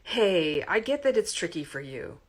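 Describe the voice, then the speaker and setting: Woman narrator as female college professor, authoritative, articulate, quick temper, kind underneath, romcom audiobook. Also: fairly low pitch, sing-song, breathy edge. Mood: softer, helpful.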